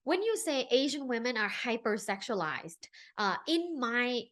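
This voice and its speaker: A woman from Thailand hosts a conversational podcast interview. Her voice sits fairly high and moves up and down with a very animated melody. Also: measured pace. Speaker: podcast host.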